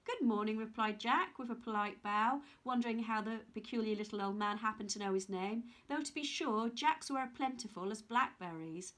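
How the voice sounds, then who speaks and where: A woman reading a children's story aloud in an expressive storytelling style. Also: fairly high pitch, moderate pace, hardly any pauses.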